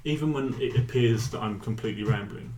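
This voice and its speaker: English spoken word performer, rambling and self-interrupting, mid-pitched, roomy echo with faint hiss. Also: male voice, brisk. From England. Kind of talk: casual interview chat.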